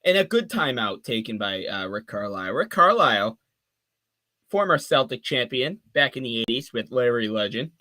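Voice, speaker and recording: A man of twenty giving fan play-by-play on a live stream, calling the action quickly and chatting with viewers between plays. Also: mid-range voice, sing-song, bright, forceful tone. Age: 20s. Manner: rapid call of the action mixed with chatty asides to viewers.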